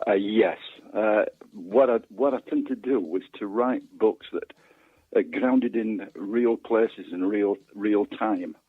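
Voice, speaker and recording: A man's voice: lowish voice, takes it slowly, breathy edge. Older male author from West Yorkshire, hesitant interviewee, echoey thin telephone sound. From West Yorkshire, England. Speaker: phone guest, author. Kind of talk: casual interview conversation. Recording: echoey, tinny phone line.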